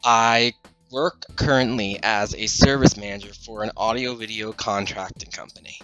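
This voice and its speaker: A man's voice: lowish voice, fairly even intonation, normal speed, bright, forceful tone, low-quality recording. Twenties guy, upbeat, headset mic, faint music behind. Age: 20s. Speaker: contestant. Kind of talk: casual self-introduction. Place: bedroom at home.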